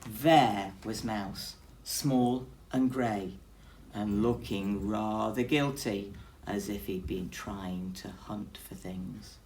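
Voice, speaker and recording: Very low-voiced woman reading a children's story. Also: slow pace, a little hiss or hum, low-quality recording. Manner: expressive, addressing young children directly. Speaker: teacher. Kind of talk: children's storytime read-aloud.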